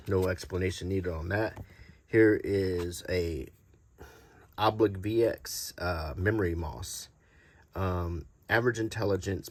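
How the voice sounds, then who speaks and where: A middle-aged man giving a casual, unscripted show-and-tell in a halting, murmured way, like unintentional ASMR. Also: sits low. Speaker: host presenting a vintage game card collection. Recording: noticeable background noise, small clicks.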